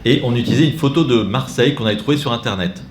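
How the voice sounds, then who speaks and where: A man's voice: medium pitch, racing. Guy rambling thru a training talk, jokes at himself, roomy echo and faint hum behind. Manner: chatty, digressive, self-mocking asides. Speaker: speaker training catechists. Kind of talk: informal training talk with screen demo. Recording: echoey room, faint ambience.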